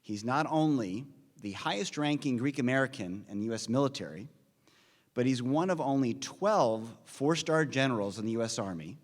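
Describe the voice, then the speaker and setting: Man giving a formal, measured introduction in a hall. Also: medium pitch, expressive. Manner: earnest, measured delivery, reads off names and figures. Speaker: speaker introducing the guest at a conference forum. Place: large conference hall. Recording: long reverb of a large hall.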